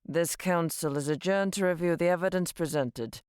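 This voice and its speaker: A woman's voice: fairly low pitch, normal speed, heavy background noise, natural voice. An actress playing the stern, authoritative female head of a vampire council in an audio drama, speaking formally and with command.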